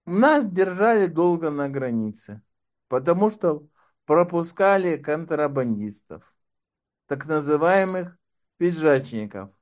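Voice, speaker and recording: A man's voice: a little high, animated, takes it slowly. A man speaking as an interview guest and doctoral candidate, talking in a wry, sardonic way. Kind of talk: casual conversation.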